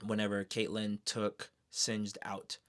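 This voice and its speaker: Expressive, slangy guy vlogging. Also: fairly low pitch, slow pace. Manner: slangy, expressive, with exclamations and sound effects. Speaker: streamer reviewing an animated series. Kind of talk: casual excited fan commentary.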